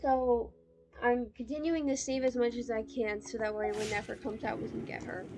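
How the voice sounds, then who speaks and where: A woman's voice: a little high, flat delivery, moderate pace, mellow. A woman livestreamer talking casually to her chat while playing a game, chatty and informal. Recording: game music behind the voice. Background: video game music.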